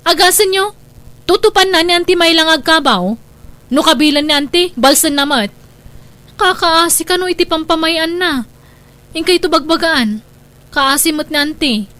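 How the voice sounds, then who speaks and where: A woman's voice: very high voice, normal speed, long pauses, bright, forceful tone. A woman playing an anxious, plaintive young village daughter in an Ilocano radio drama. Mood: concerned. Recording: music behind. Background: music.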